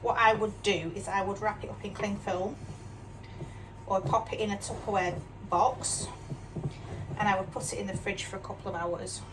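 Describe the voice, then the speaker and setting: An older woman with a mixed Yorkshire accent chats away while she cooks at home, poking fun at herself and ending her lines with little questions. She sits some distance from the mic. Steady background noise hums behind her. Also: mid-range voice, normal speed. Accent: mixed northern English, Yorkshire. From Yorkshire, England. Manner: chatty, self-deprecating, asks tag questions. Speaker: cooking vlogger. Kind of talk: casual chatty cooking demonstration. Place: home kitchen.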